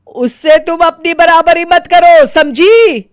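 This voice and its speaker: A woman's voice: extremely high pitch, slow, no room sound, clean studio sound. A woman voicing a stern, bossy middle-aged Indian mother-in-law in a cartoon family story. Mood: scolding, irritated. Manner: sharp, bossy, scolding. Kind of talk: Hindi moral-story cartoon acting.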